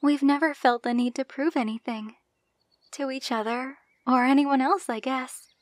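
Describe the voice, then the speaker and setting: Woman playing a high-voiced, tender young bride. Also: steady tone, moderate pace, dry recording, clean studio sound, close to an everyday voice. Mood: tender, playful. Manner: tender, giggly, softly teasing. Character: affectionate, playful, teasing young bride on her wedding day. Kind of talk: intimate ASMR roleplay addressed to the listener.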